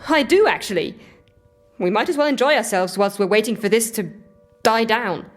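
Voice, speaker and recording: A woman's voice: on the high side, lively intonation, normal speed, faint music behind, natural voice. A woman acting in an audio drama as a jittery but wry woman sheltering in a cellar, in naturalistic dialogue. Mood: curious, eager.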